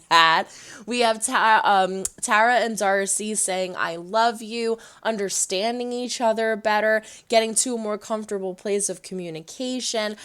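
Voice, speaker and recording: A woman hosting a casual reaction vlog, chatty and animated, her voice going hoarse. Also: mid-range voice. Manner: chatty, animated, rambling with restarts. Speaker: reaction video host.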